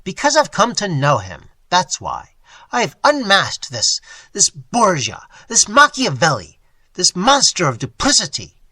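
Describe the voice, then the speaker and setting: A man voicing an excitable, nearsighted little male scientist who shouts angrily. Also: moderate pace, no echo, heavily acted. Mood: furious. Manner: shrill, angry, shouting.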